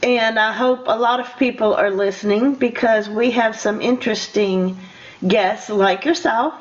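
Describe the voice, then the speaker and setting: An older woman interviewing a guest casually as a radio host, in a halting, rambling, encouraging way. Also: on the high side, fairly even intonation. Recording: dull lo-fi radio interview audio.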